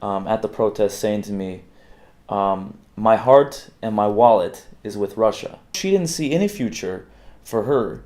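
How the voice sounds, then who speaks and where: A man's voice: fairly low pitch, animated, unhurried, a little mellow. A man giving a reflective documentary interview.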